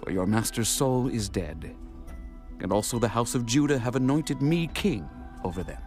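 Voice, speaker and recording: A man playing a grieving young king and warrior in a dramatized scripture reading, reciting in a solemn, measured way. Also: mid-range voice, lively intonation, darkish tone, soft background music, natural voice.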